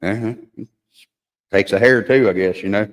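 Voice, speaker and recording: A man's voice: fairly low pitch, moderate pace. A man hosting a livestream in casual chat, a crude, joking, rambling storyteller. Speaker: livestream host. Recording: long hall reverb.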